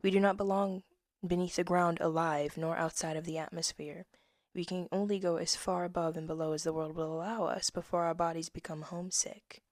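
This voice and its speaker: Woman, 20s; low-pitched, hushed reader of her own verse, dry close mic. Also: level tone, fairly quick, no room sound. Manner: soft-spoken, drifts into whispers, laughs at herself. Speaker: poet reading her own work. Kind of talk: casual reading of old poems with asides.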